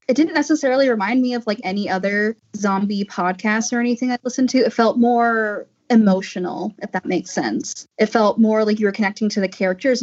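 A woman's voice: mid-range voice, breathy edge, lo-fi sound. A woman actor giving her view in a casual panel discussion.

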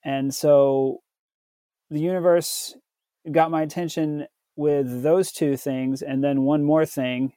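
Male American podcast guest, mellow and unhurried, reflective storyteller who pauses mid-thought, dry close sound. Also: a little high, steady tone, no room sound. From southern United States, Tennessee. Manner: halting, pausing often mid-sentence. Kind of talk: reflective personal storytelling in an interview.

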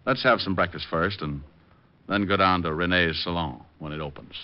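An actor playing a suave, wisecracking male private detective in a 1950s radio drama, dry and quick. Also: low-pitched. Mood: wry. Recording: dull old radio broadcast.

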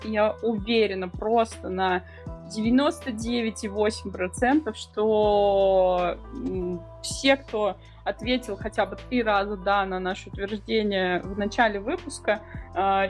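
Slightly stammering woman co-host, music underneath. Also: mid-range voice. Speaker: podcast co-host. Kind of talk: informal podcast talk.

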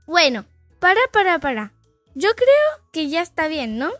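Rebellious little fairy-tale girl, very high voice, fed up, bossy, sassy, comic audio story, faint music behind. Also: wildly swooping pitch, unhurried, a bit bright, no echo, heavily acted. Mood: impatient. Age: young girl figure. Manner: sassy, impatient, interrupting. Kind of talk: comedic audio fiction acting.

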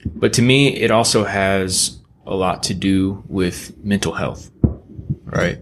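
Man, low voice, earnest, reflective, casual podcast talk, faint background noise. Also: fairly even intonation, slow pace. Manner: earnest, reflective, uses "right?" often. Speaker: podcast co-host.

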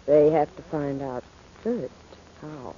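Mellow, sly, taunting radio schemer. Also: female voice, low-pitched, takes it slowly. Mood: mocking, cool. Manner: arch, mocking. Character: cool, sly, mercenary sister, taunting. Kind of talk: 1940s radio acting. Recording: muffled old-time radio recording, faint noise.

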